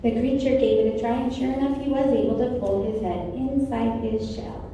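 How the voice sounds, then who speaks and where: A woman's voice: a little high, moderate pace, dark tone, highs cut off. A female narrator reading a scripted storybook tale for reader's theater. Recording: very echoey distant room, faint noise.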